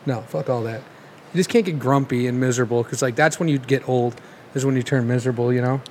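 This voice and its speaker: A man's voice: medium pitch, quick pace, darkish tone, slight background noise. A man co-hosting a podcast, laughing a lot and swearing casually. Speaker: podcast co-host. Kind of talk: casual chat. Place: large studio.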